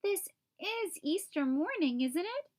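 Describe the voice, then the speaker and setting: Woman voicing a squeaky, eager little kitten. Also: big pitch swings, takes it slowly, darkish tone, exaggerated voice. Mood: searching. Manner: mewing, squeaky. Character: three squabbling little kitten siblings, eager and childlike.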